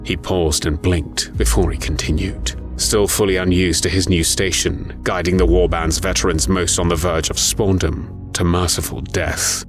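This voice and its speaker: A man's voice: low-pitched, fairly even intonation, normal speed, few pauses. A man reading scripted, dramatic narration for an audio story. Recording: music under the voice. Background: music.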